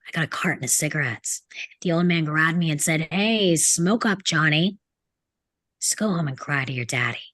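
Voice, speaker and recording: Casting: female reader as sarcastic, angry high school tough boy, low-pitched, table-read drama. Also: expressive, moderate pace, fairly bright tone, no echo. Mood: bitter, mocking. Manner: blunt, sneering, swearing. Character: angry, sarcastic rebellious teenage boy from a rough home. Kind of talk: video-call table read of a film script.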